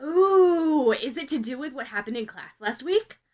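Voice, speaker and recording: A woman voicing a plucky, excitable teenage schoolgirl who teases and pries in a storybook reading. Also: sits high, measured pace. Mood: eager guessing. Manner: teasing, insistent, blithe.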